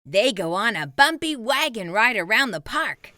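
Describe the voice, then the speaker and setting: A woman reading a children's picture book aloud, with a lively, expressive delivery. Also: fairly high pitch, moderate pace, no echo, clean studio sound. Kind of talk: read-aloud storytelling.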